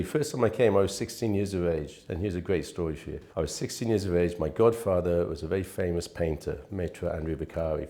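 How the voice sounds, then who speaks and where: Man, fifties, very low and mellow voice, chatty interviewee who rambles through his anecdotes. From Wales. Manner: chatty, rambling with frequent restarts. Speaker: interviewee, real estate manager. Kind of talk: relaxed anecdotal storytelling.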